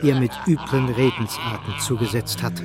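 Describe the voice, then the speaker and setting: A man's voice: medium pitch, steady tone, moderate pace, a crowd in the background. A man narrating a literary radio play in a scripted, storytelling style.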